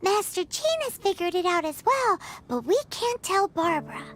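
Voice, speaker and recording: A woman voicing a tiny, excitable childlike fairy companion in a video game, squeaky and inquisitive. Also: moderate pace. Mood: explaining. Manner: excitable, third-person self-reference, inquisitive.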